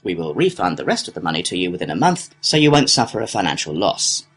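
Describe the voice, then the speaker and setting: Lively, slightly husky male complaints clerk, acted. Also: deep voice, quick pace, full character voice. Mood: courteous, businesslike. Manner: procedural, courteous customer-service delivery. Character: courteous, helpful complaints clerk at an antiques company. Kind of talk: scripted listening-test dialogue.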